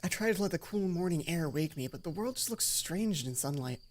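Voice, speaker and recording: A man's voice: fairly high pitch, lively intonation, a little fast. A man doing a let's play, reading visual novel narration expressively and adding casual commentary. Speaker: let's player. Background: game music.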